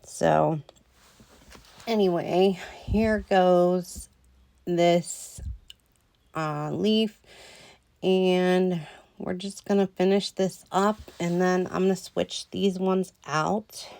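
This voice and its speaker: A woman talking through a nail tutorial in a slow, rambling way with lots of ums, a little hoarse as she loses her voice. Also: on the low side, fairly even intonation, a little mellow, no room sound. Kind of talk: casual voice-over tutorial.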